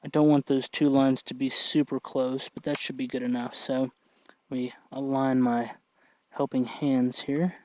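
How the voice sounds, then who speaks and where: A man's voice: mid-range voice, little pitch movement, a little mellow, no echo. Man talking through hands-on work, dry sound. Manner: halting, thinking aloud while working. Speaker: tutorial host. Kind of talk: casual hands-on tutorial narration.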